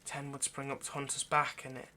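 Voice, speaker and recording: A man playing an anxious, lovesick vampire kidnapper in an intimate audio roleplay, speaking in a halting, pleading way. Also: medium pitch, monotone, bright, forceful tone, a little hiss or hum. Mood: grave, explanatory. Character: anxious, lovesick male vampire kidnapper, centuries old but youthful.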